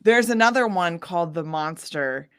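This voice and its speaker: A woman guest, a singer-songwriter, chatting casually in an interview and acting out quotes in an animated, informal way. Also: fairly low pitch, normal speed, clean tone.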